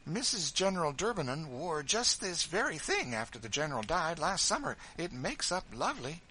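A man's voice: a little high, sing-song, normal speed, pushed and bright, slight background noise, pitched above the performer's own voice, poor audio. A man doing a voluble chattering maid. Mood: gushing. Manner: voluble, gushing.

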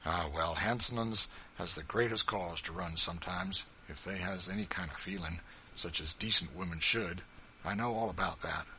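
A man doing a booming rustic Kentucky farmer. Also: normal speed, slight background noise. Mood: sympathetic. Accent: rustic Kentucky dialect. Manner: loud, folksy, emphatic drawl. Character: huge rough-hewn older Kentucky farmer, kindly.

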